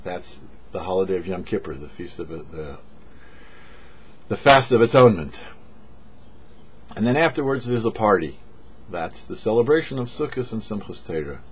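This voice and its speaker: A man, a rabbi, giving a short sermon with parables in a measured storyteller's delivery, pausing between phrases. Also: lowish voice, expressive, a little hiss or hum. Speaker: rabbi, host of a weekly Torah portion show. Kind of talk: sermon with storytelling, read for a show. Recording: dull, lo-fi audio.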